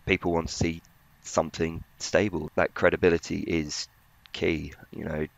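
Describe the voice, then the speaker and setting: A man giving conversational market analysis as a financial journalist, in a hesitant, halting way. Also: deep voice, flat delivery, creaky, no room sound, rough recording.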